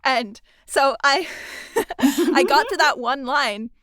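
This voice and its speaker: A woman's voice: high voice, animated, takes it slowly, fairly bright tone, dry recording. A woman working as a sound designer, chatting casually and informally with enthusiasm while interviewing a colleague. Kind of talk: casual chat.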